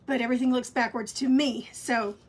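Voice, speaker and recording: A woman's voice: on the high side, fairly even intonation, fairly quick. A woman with a scratchy voice, teaching a live craft painting lesson in a chatty, encouraging way. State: scratchy voice, clearing throat. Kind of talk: live-streamed painting tutorial.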